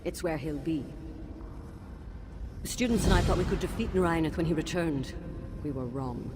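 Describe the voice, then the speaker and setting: An actress playing a grieving, resolute ghostly headmistress and betrayed wife, with a solemn, earnest delivery in a fantasy game. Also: female voice, low-pitched, normal speed, noisy background, lightly acted. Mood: grave, urgent. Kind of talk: dramatic fantasy game voice acting.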